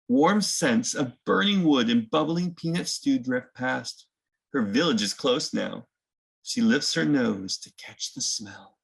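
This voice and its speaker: A man's voice: fairly high pitch, gravelly, a bit bright. A man hosting a children's picture book read-aloud, mixing story narration with casual explanatory asides.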